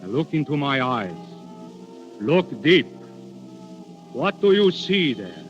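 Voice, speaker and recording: An actor playing Death in princely human guise, grandiose, yearning and imperious, in a 1930s radio drama. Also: male voice, medium pitch, big pitch swings, slow pace, faint music behind. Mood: yearning, brooding. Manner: theatrical, imperious, brooding. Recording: muffled 1930s radio recording.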